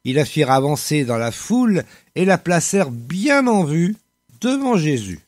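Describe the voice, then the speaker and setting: Hoarse man with a cold, lively. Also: a little high, moderate pace, no echo, clean studio sound. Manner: lively reading with joking asides. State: has a cold, slightly hoarse voice. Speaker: radio host. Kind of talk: Bible reading and quiz hosting. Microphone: studio microphone.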